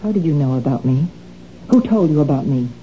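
An actress playing a frightened middle-aged housewife in a 1970s radio drama, anxious and pleading. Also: female voice, deep voice, animated, brisk. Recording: dull old radio recording, loud hiss.

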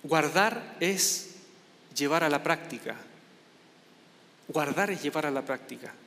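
A man's voice: a little high, lively intonation, takes it slowly, a bit bright, long reverb tail. A man from Chile with a Chilean accent, preaching an informal, conversational sermon with many restarts and a self-mocking tone. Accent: Chilean Spanish. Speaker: pastor.

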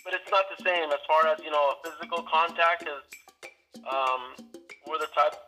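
A man's voice: fairly high pitch, fairly even intonation, moderate pace, bright, forceful tone, soft background music, poor audio. A man from California answering interview questions casually, hesitant and full of restarts and "you know"s. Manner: hesitant, repeats himself, many fillers. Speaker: interviewee, branch manager.

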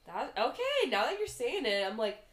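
A woman's voice: on the high side, very animated, normal speed, fairly bright tone. A young woman chatting casually as a podcast co-host, laughing often and leaning on "dude" and "like". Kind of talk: casual chat.

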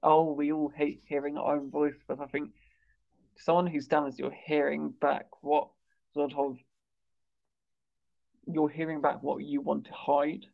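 Gravelly man who stammers, flat delivery. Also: fairly high pitch, unhurried, long pauses. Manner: stammers, repeats words, says "like" often. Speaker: campaigner and podcast host. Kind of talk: conversational interview chat. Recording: dull lo-fi sound.

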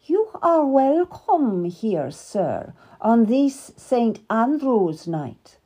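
A woman's voice: on the high side, big pitch swings, warm tone, husky, voice pushed up, full character voice. Woman voicing a proud, bitter queen. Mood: icy. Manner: slow, measured and formal. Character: proud wronged middle-aged queen, dignified and bitter. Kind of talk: audiobook character reading.

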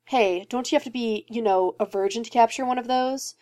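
A woman's voice: a little high, brisk, warm tone, natural voice. A woman reading a story aloud, voicing a cocky, casual man who teases. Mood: teasing. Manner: casual, teasing. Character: cocky casual male billionaire, teasing. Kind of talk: fan fiction reading.

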